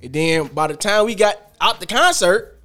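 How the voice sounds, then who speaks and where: A man with a Detroit accent co-hosting a casual podcast, telling animated stories and laughing often. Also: high voice, moderate pace, soft background music. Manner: animated storyteller, laughs often, quotes reactions. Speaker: podcast co-host. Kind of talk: casual chat.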